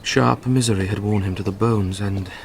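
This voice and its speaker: An actor with a British accent playing an impassioned, grief-stricken young nobleman in a classical Shakespearean radio drama, delivering verse theatrically and deliberately. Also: fairly low pitch, fairly even intonation, vocal fry, slight background noise. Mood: brooding, desperate.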